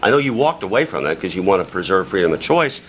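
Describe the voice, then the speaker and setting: A man's voice: sits low, very animated, fast talker, a bit bright, a little hiss or hum, poor audio. A man asking an argumentative follow-up question on a panel, qualifying his points as he goes.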